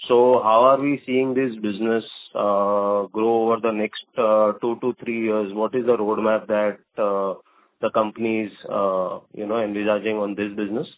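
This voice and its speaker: A man's voice: on the low side, level tone, normal speed. A man with an Indian accent politely asks questions as an analyst calling into an earnings call. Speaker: analyst caller. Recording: dry narrow phone line.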